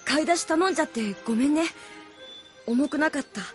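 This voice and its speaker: A woman voicing a gentle, sincere young boy hero in a Japanese audio drama, soft-hearted and earnest. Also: high-pitched, brisk, faint music behind, natural voice. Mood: gentle, determined.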